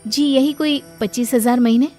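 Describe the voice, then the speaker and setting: A woman's voice: high-pitched, steady tone, normal speed, warm tone, faint music behind, lightly acted. A woman playing a hurt but self-respecting young daughter in a moral story, earnest, polite but firm. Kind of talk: moral story cartoon dialogue.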